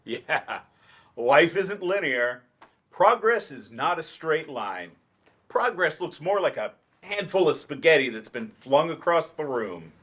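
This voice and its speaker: A man's voice: fairly high pitch, big pitch swings, slow, a bit bright, exaggerated voice. A man reading the part of a dejected, sarcastic writer turned teacher in a staged play, dry and wordy. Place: library event room, staged reading.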